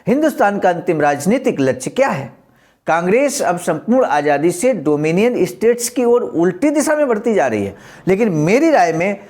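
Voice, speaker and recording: A man's voice: high voice, a little mellow. A man presenting a historical political speech, reading it aloud in a formal, explanatory and oratorical manner.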